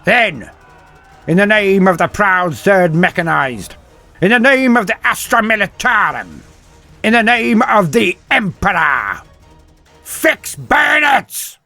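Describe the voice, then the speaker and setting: A man's voice: high-pitched, very animated, slow, pushed and bright, faint music behind, pitched above the performer's own voice, exaggerated voice. A man voicing a proud old military commander giving a stirring, emphatic speech to his troops in a dramatic war story. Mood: commanding, shouted. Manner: stirring, emphatic battlefield oratory.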